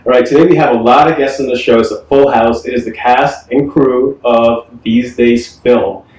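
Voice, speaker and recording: A man hosting a podcast, asking questions in a relaxed, joking way. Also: mid-range voice, moderate pace. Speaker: podcast host. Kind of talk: casual interview. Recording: roomy room, mic at a distance.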